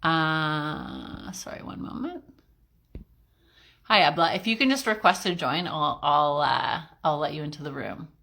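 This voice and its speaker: A woman hosts a casual interview over a video call. Her voice sits a little low, and her talk is easy and chatty. She often pauses on um and uh as she goes. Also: gravelly, pushed and bright. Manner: chatty, friendly, with frequent um and uh fillers. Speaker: interview host.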